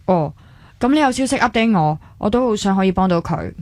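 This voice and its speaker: A woman's voice: medium pitch, animated, fairly quick, darkish tone, dry recording, clean studio sound, close to an everyday voice. A woman with a Hong Kong Cantonese accent acting a caring young hospital worker in a radio drama, speaking earnestly and conversationally with some English words mixed in. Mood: curious, familiar. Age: young adult.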